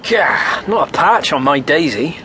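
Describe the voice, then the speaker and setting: Radio drama needs polite young country lad, lighter-pitched, earnest and rustic, faint ambience behind. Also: male voice, lively intonation, a little slow, sharp tone, a little hiss or hum. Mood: bantering. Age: young adult. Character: earnest eighteen-year-old English farm lad.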